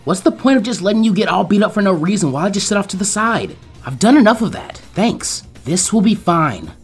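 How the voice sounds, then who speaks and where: A man performs a confident teenage ninja girl for a story reading, pitched high and swinging widely. The girl is bossy and teasing, turning coyly sweet, with music playing behind. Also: big pitch swings, fairly quick. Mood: determined. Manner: emphatic, teasing, sweet-toned.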